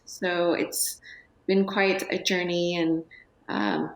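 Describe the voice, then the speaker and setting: A woman in her forties, a guest on a TV talk show, speaking softly and hesitantly with many pauses and fillers. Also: lowish voice, little pitch movement, roomy sound, rough recording. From United States. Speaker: guest, business owner. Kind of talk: TV interview. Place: TV studio.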